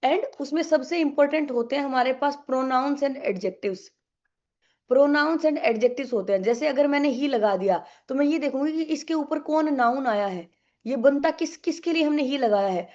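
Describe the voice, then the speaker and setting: A woman's voice: sits high, moderate pace, darkish tone, highs cut off. A young woman teaching an online exam-prep English class with a sore throat, chatty and coaxing, mixing English into her Hindi.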